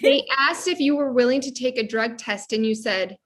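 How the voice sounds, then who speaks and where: A woman's voice: fairly high pitch, moderate pace, fairly bright tone, close to an everyday voice. A woman playing an exasperated, scolding teenage daughter in a comedy table read. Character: exasperated teenage daughter fed up with her boyfriend.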